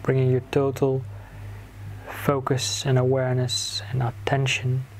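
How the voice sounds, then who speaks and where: Very slow, even-toned man guiding meditation. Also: medium pitch, poor audio. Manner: soothing, instructing in short phrases with long pauses. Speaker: guided meditation teacher. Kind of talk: guided meditation narration. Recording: clear background noise, ambient sound.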